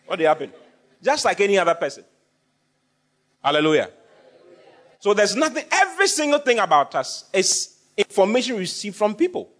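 A male pastor delivers a sermon, his voice sitting a little high and swinging widely in pitch. He drives points home by repeating lines and asking the congregation questions. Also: big pitch swings, normal speed, pauses often, a bit bright. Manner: call-and-response with congregation, repeats phrases for emphasis.

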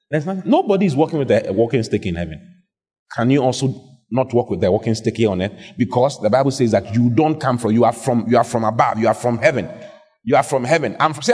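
Guy preaching, husky voice, pitch all over the place, lots of hall echo. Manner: animated, call-and-response with the congregation. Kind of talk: lively sermon. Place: church hall.

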